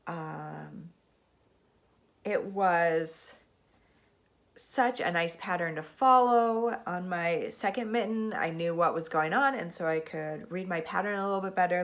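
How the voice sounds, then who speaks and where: A woman's voice: fairly low pitch, a bit bright. A woman in her thirties hosting a casual knitting podcast from home, stuffed up with a cold and chatting in a rambling way with plenty of ums. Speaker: podcast host. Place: at home, seated in a spinning chair in front of a camera. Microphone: camera mic.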